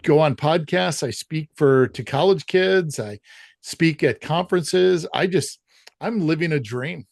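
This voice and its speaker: A man's voice: a little high, a little slow, vocal fry, dry recording, clean studio sound. A man guesting on a podcast, talking in an upbeat, emphatic, motivational way. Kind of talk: casual interview.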